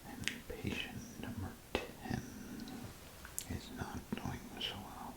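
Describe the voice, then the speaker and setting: A man performing a whispered ASMR role play as an attentive, apologetic doctor, murmuring in a hesitant, unhurried way. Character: apologetic, attentive male vault doctor tending battered patients.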